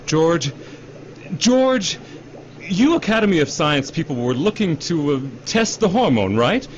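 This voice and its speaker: Casting: man to play slick middle-aged executive, self-justifying, higher-set animated voice, radio drama. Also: unhurried. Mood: defensive, pleading. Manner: overfamiliar, salesman-like cajoling, repeats himself. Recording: dull radio drama recording, faint noise.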